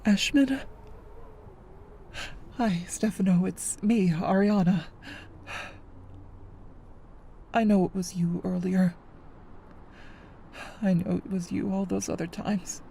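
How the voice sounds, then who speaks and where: A woman's voice: medium pitch, fairly even intonation, takes it slowly, vocal fry, long pauses, warm tone, slight background noise. An actress playing a weary, grieving mother in a video game, leaving a pleading yet resolute voicemail. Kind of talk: dramatic voicemail monologue in a game.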